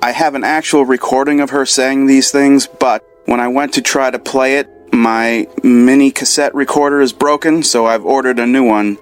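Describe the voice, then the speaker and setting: A man's voice: mid-range voice, steady tone, normal speed, few pauses, clear, resonant tone, faint music behind. Earnest man telling a story. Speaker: caller. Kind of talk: spoken message and reading aloud.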